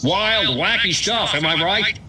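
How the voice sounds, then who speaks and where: High-voiced, blustering small-town mayor. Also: male voice, lively intonation, a little slow, bright, forceful tone, a little hiss or hum, heavily acted. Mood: jokey, hammy. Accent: American. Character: glib, blustering small-town American mayor making speeches. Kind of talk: comedic video game dialogue, mock political speech.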